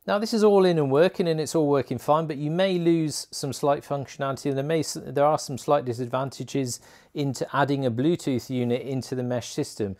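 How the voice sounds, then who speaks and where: A man's voice: mid-range voice, brisk, a little mellow. A man hosting a motorcycle gear video, walking through an informal product tutorial in a chatty, colloquial way.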